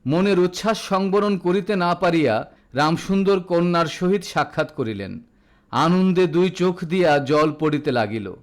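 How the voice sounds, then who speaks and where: A man's voice: sits high, lively intonation, normal speed. A man reading a literary audio story as narrator, in a formal, dramatic storytelling manner.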